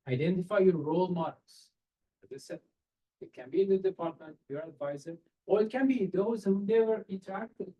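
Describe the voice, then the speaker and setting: A man's voice: high-pitched, fairly quick, dark tone, husky, poor audio. A man, giving earnest career advice to students in a seminar over a video call. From Iran. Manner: earnest, direct, rhetorical questions, non-native phrasing. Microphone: webcam on a video call.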